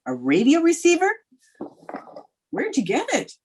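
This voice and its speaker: An actress playing a wry, wisecracking high school teacher in an old-time radio comedy, with dry, sardonic delivery. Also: female voice, huge pitch swings, unhurried, darkish tone, slight background noise, full character voice, poor audio. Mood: curious, wry. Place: remote recording over video call.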